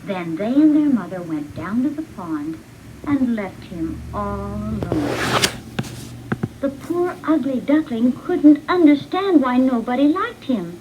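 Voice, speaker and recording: Female storyteller for children's read-along, very animated and unhurried, noisy recording. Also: a little high, highs cut off. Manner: expressive, deliberate read-along delivery. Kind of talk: scripted children's storybook narration.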